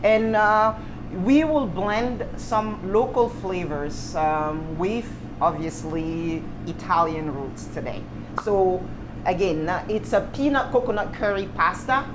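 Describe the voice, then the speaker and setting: A woman's voice: medium pitch, slow. A woman in her thirties with a Cameroonian accent, presenting to camera as a guest chef, casually and emphatically. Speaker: guest chef and restaurant owner. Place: restaurant kitchen, indoors. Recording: clearly noisy kitchen ambience.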